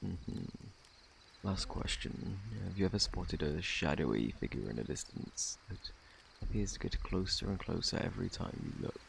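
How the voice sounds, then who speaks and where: A man with a British accent playing a polite, evasive, faintly sinister plague doctor in an ASMR roleplay, speaking softly in a formal, fussy, self-assured way with a gravelly, partly whispered voice. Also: sits low, animated, moderate pace, a little hiss or hum, exaggerated voice. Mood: clinical, hushed. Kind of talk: ASMR roleplay, soft close speech.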